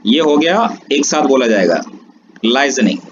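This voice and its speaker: A man teaching a French lesson, explaining slowly and drawing words out. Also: mid-range voice. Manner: slow, drawn-out explanations mixing Hindi and English. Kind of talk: online language lesson. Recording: dull lo-fi sound with steady background noise.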